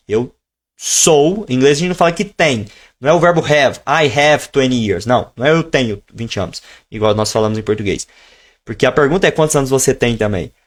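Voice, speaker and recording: A man teaching a live online lesson, explaining at a relaxed pace and stretching out example phrases slowly so learners can follow. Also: medium pitch. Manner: switches between teaching tone and slow, drawn-out modeled phrases. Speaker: English teacher.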